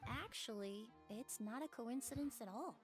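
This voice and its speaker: A woman voicing a composed, analytical female investigator in a video game, measured and precise, explaining things. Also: on the high side, loud music behind the voice, close to an everyday voice. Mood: calm, explanatory. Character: composed, analytical woman, a forensic perfumer sleuth. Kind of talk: scripted video game voice acting.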